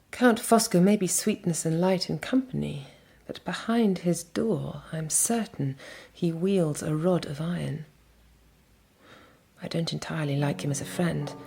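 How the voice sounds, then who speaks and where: An actress playing a resolute young Victorian gentlewoman in a period audio drama, speaking in a measured, articulate and wry way. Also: deep voice, vocal fry, husky, full character voice. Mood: wary, confiding.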